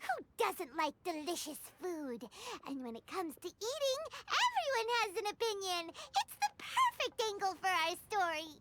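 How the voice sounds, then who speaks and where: A woman voicing a tiny, cheerful, excitable childlike fairy companion in an animated game style. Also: moderate pace, heavy background noise, heavily acted. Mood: eager. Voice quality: squeaky, childlike. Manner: refers to herself in third person, exclamatory. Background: music.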